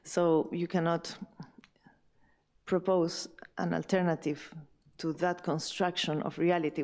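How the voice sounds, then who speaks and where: A woman speaking as a panelist at a round table, thoughtful and halting, with many fillers and restarts. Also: low-pitched, gravelly, dark tone. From Chile.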